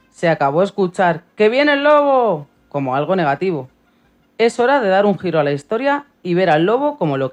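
A woman's voice: lowish voice, darkish tone. A woman reading scripted narration as a radio co-host. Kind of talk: scripted narration, read book review.

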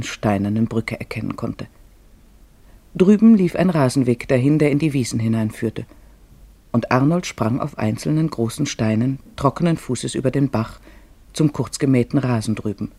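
Mellow, husky male storyteller. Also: medium pitch, dry recording. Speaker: narrator of a radio play. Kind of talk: scripted literary storytelling in German radio drama.

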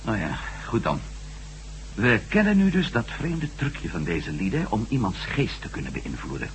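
An actor playing a bold, earnest ex-astronaut adventurer in a Dutch science-fiction radio drama. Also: male voice, fairly low pitch, very animated, moderate pace. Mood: urgent, grave. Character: bold male ex-astronaut reporter turned adventurer. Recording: noisy, humming old radio recording.

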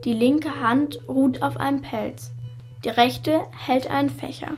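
A woman's voice: high-pitched, steady tone, unhurried, over music. A woman performing a secondary voice in a radio play, reciting descriptive memories as a scripted literary reading.

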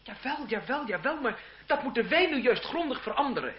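An actor playing an idealistic, earnest young French seminarist and reformer in a radio drama, speaking in a declamatory way. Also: male voice, very high voice, big pitch swings, a little fast. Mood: impassioned. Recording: muffled, hissy old radio drama recording.

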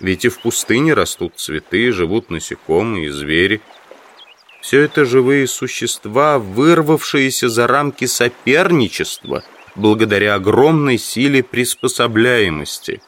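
An actor playing an obsessive insect collector, musing reflectively to himself in a dramatised inner monologue. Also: male voice, mid-range voice, lively intonation, a little slow, fairly bright tone. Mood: brooding, philosophical. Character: brooding, obsessive male insect collector lost in thought.

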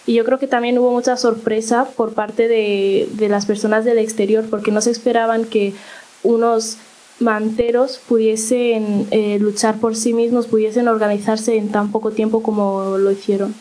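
A woman speaks at a public talk, choosing her words slowly and pausing often. Her voice sits fairly high but stays flat, with little rise and fall. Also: poor audio. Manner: halting and measured, with pauses and "eh" fillers. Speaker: panel speaker.

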